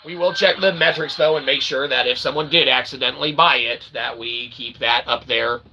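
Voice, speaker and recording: A man's voice: medium pitch, steady tone, moderate pace, background noise. A man hosting a livestream, rambling through a monologue and checking in with "okay?" and "all right". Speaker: livestream host.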